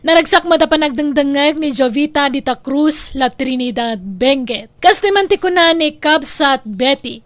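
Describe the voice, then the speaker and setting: A woman's voice: high-pitched, a bit bright, dry recording. A woman with an Ilocano accent hosting a radio drama with a scripted introduction and greetings. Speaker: drama channel host.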